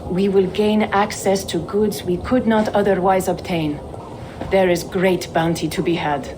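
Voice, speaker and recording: A woman's voice: medium pitch, fairly even intonation, measured pace, background noise, lightly acted. An actress playing a shrewd, wry woman trader in a Viking-age video game, speaking in an assured, businesslike way. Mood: businesslike, pleased. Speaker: game character, merchant. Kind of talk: video game cutscene acting.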